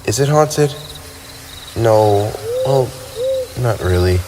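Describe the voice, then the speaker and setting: A man's voice: lowish voice, expressive, slow, background noise. A man playing a lonely, centuries-old vampire with wounded pride, speaking in wistful, formal, theatrical phrases in an ASMR roleplay. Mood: defensive, haughty. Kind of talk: ASMR roleplay monologue addressed to the listener. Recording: night ambience with crickets and owls.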